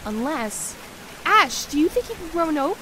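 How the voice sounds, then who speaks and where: A woman voicing a commanding, sharp-tongued young female mage in a visual novel, dry and cutting in manner. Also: high-pitched, very animated, moderate pace, a little hiss or hum, voice pushed up, heavily acted, rough recording. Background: soft game music and rain.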